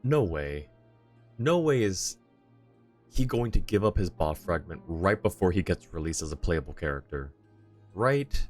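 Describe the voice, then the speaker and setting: A man doing casual live game commentary as a streamer, playful and mock-dramatic as he chats to viewers. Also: low-pitched.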